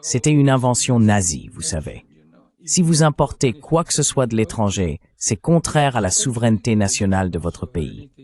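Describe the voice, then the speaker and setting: A man's voice: lowish voice, very animated, normal speed, a little mellow. A man delivering a translated voice-over of an interview, explaining things as a commentator.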